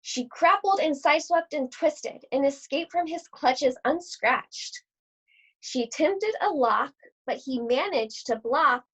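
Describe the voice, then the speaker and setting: A woman reading a children's picture book aloud to schoolchildren in an animated, theatrical storytelling manner. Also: high-pitched, slow. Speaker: read-aloud host at an elementary school. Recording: dull, lo-fi sound.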